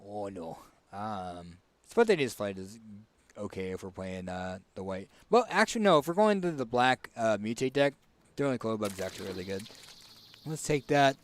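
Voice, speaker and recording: A man with a raspy, hoarse voice casually commenting on a card game as he picks cards, thinking aloud with fillers and restarts. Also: fairly low pitch, expressive, moderate pace. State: hoarse, tickly throat. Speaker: streamer.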